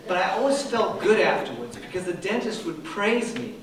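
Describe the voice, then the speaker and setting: A middle-aged man from Japan reads from his memoir in a dry, self-deprecating way, his voice a touch higher than most men's. He is heard from some distance off the mic in a large, very reverberant hall, with a faint hum of room ambience underneath. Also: very animated, normal speed. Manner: dry, self-deprecating reading with soft laughs. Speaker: author reading from his book. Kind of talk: reading aloud from a memoir.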